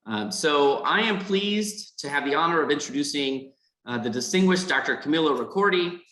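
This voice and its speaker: A man's voice: fairly high pitch, animated, normal speed, fairly bright tone, echoey room, rough recording. A man hosting a foundation event on a video call, reading prepared remarks with fillers and repeated words. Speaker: foundation host and interviewer. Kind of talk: scripted introduction.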